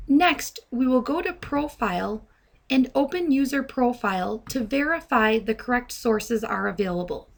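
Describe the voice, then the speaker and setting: A woman presenting step-by-step software training in a deliberate, instructional manner. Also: a little high, lively intonation, gravelly. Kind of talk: step-by-step tutorial narration. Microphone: handheld dictation microphone.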